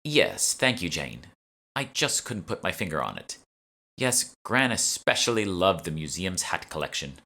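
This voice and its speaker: A man's voice: low voice, big pitch swings, measured pace, bright, forceful tone, exaggerated voice. A man voicing an imperious, condescending young woman who plays a breezy heiress in an audiobook. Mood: airy. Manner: breezy, demanding, haughty. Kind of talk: audiobook character dialogue.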